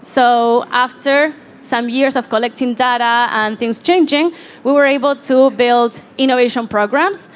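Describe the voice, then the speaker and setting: A woman's voice: fairly high pitch, a little slow. A woman from Chile, a program director, introducing herself on a conference panel in a storytelling way. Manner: storytelling, quotes others in lively voices.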